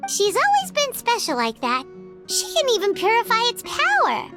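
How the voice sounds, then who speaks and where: Childlike fairy companion, very high squeaky voice, excitable, animated, game dialogue, clear background music. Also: female voice, moderate pace, full character voice. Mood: chirpy. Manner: expressive, naive, refers to herself by name. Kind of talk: scripted game voice acting. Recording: loud music behind the voice.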